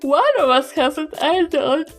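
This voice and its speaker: A woman's voice: high-pitched, a little slow, soft background music. A woman with a New Zealand accent, chatting casually as a player in a tabletop game.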